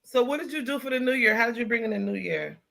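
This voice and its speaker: A woman's voice: fairly high pitch, lively intonation, fast, creaky, bright, forceful tone. A middle-aged woman hosting a talk show in casual chat, laughing and teasing her co-hosts.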